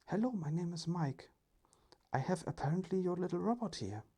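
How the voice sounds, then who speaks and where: A storyteller voicing a friendly, considerate male family member in a breathy ASMR storybook whisper. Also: mid-range voice, lively intonation, moderate pace, dark tone, voice pushed up. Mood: polite.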